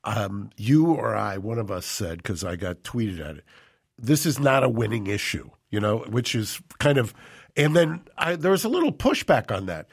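An older man co-hosting a podcast in a studio, talking into a boom mic, indignant and full of rhetorical questions. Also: sing-song, moderate pace, vocal fry, breathy edge. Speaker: co-host. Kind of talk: casual podcast talk. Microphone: studio boom mic with headphones.

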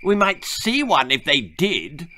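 A man's voice: on the high side, big pitch swings, slow, bright, forceful tone, a little hiss or hum, voice pushed up, heavily acted. A man voicing a grumpy, spoiled young boy in a children's storybook, petulant and sneering.

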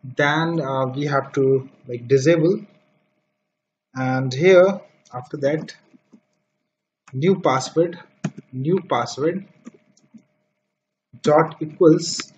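A man walks through code slowly, spelling out each line as he types, with many fillers. His voice sits fairly high for a man. The recording is dull and lo-fi, with faint noise and keyboard clicks behind. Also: a little mellow. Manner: slow, step-by-step dictation of code with fillers. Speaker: programming tutor. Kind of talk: screen-recorded coding tutorial.

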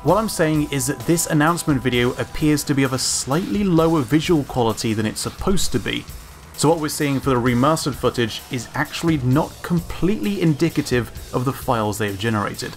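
A man with a medium-pitched voice narrates an analytical video. His scripted commentary is informal, measured and opinionated, with music playing behind him. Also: expressive. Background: background music.

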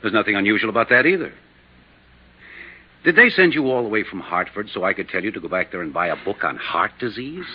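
A man's voice: fairly low pitch, lively intonation, quick pace, husky. An American man acting an irritable, overworked doctor in his late thirties, curt and defensive, in a 1950s radio drama. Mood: irritated. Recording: muffled lo-fi 1950s radio recording.

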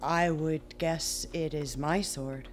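A woman playing an agitated, earnest captive from another world in an audio drama, speaking formally and deliberately. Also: sits low, fairly even intonation. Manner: formal, deliberate, avoids contractions.

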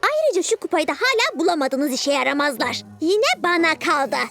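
A woman's voice: very high voice, sing-song, normal speed, fairly bright tone, full character voice. A woman voicing a scheming, bossy young girl villain in a cartoon dub, taunting and self-assured. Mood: scornful, bossy. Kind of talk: animated series dub acting. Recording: music behind the voice.

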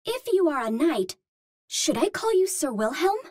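A woman's voice: sits high, very animated, moderate pace, darkish tone, dry recording, studio quality. An actress playing a cute, naive young Martian space healer, speaking in a sweet, girlish, precise and formal way. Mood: curious, earnest.

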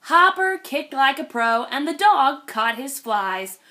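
A woman reading a children's picture book aloud in an expressive storytelling style. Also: high voice, slow pace. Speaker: read-aloud host.

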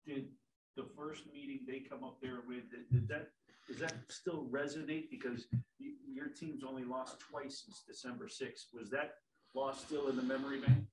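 A man's voice: medium pitch, fairly even intonation, moderate pace, far from the mic. Male wire reporter, off-mic in an echoey press room with noise behind. Long, winding questions. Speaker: news agency reporter. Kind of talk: asking questions at a press conference.

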